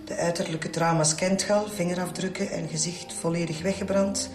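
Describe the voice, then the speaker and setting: An actress playing a matter-of-fact police pathologist in a Flemish radio drama, clinical and dryly blunt. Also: female voice, on the low side, steady tone, brisk, echoey room, over music, lightly acted.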